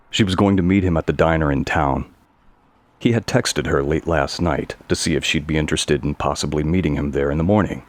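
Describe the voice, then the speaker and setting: A man's voice: extremely low pitch, no room sound. A man narrating a scripted audio story.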